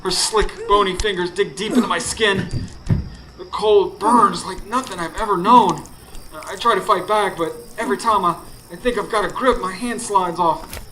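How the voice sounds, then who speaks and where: A man's voice: high-pitched, moderate pace, bright, forceful tone. A man playing a grieving, frightened narrator in a live radio horror drama, telling it dramatically in the first person. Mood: terrified. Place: studio before live audience. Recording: noisy live radio stage recording.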